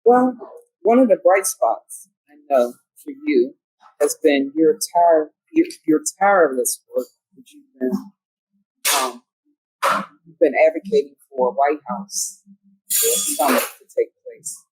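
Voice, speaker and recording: A woman hosts a podcast interview, affirming and encouraging her guest. Her pitch moves around a lot as she speaks, and her voice has a husky grain. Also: big pitch swings, takes it slowly, a little mellow, rough recording. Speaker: podcast host. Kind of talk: interview hosting.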